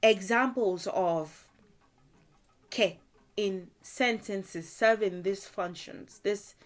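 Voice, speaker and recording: A woman's voice: mid-range voice, drawn-out words. A woman teaching a language lesson, explaining word meanings patiently and thinking aloud as she goes. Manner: thinking aloud with frequent fillers and restarts. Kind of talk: explaining vocabulary in a video lesson.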